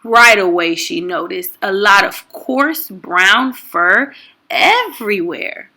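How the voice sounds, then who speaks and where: A woman reading a children's story aloud in an expressive, deliberate and emphatic narrating style. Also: mid-range voice. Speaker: storytime reader.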